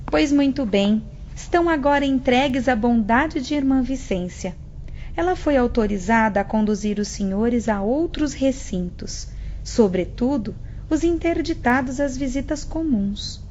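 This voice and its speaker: Actress as high-voiced, serene Brazilian spirit director. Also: female voice, expressive, measured pace, darkish tone. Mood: gracious. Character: gracious, serene female spirit director, looking about thirty. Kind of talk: audio drama acting. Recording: muffled, clearly noisy audio.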